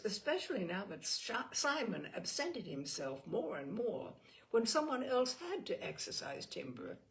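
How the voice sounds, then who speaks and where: Creaky-voiced woman reading a novel. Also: mid-range voice, rough recording. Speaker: audiobook reader. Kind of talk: literary audiobook narration.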